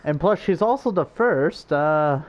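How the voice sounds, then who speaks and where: Low-voiced woman doing casual game commentary. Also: expressive, measured pace. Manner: irreverent, crude joking, giggly. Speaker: gaming streamer.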